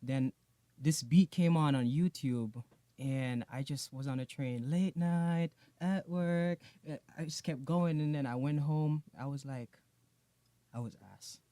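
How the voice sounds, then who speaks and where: A man from Jamaica, a music artist being interviewed on a podcast, telling his story in a relaxed, slangy way with lots of repeated phrases. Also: on the high side, a little slow, no room sound.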